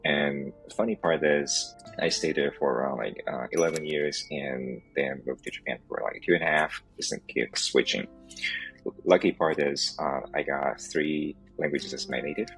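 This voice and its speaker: A man's voice: rumbling low, normal speed, gravelly, fairly bright tone, faint music behind, poor audio. A man in his thirties, raised in South Africa and Taiwan, telling his founder story in a rambling interview and laughing often. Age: 30s. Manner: rambling, laughs often, non-native phrasing. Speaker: startup founder guest. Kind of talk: casual podcast interview. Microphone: remote call from home.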